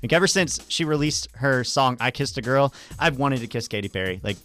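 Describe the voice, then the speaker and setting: Guy hosting a radio show, chatty and informal, medium pitch, bit of music under him. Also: animated, brisk, fairly bright tone. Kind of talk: casual radio chat.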